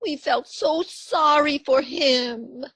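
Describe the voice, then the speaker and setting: An actress playing a sweet, dotty elderly aunt in a comedy radio play, genteel and cheerfully innocent. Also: female voice, sits high, slow pace, a bit bright, no room sound, rough recording. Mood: tender. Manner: genteel, chirpy, soothing. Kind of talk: stage comedy radio theatre acting.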